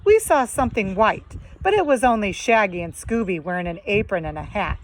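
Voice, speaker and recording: A woman's voice: mid-range voice, animated, fairly quick, slight background noise, close to an everyday voice, low-quality recording. A woman as Velma, a brainy emphatic sleuth. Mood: explaining. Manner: explanatory, emphatic.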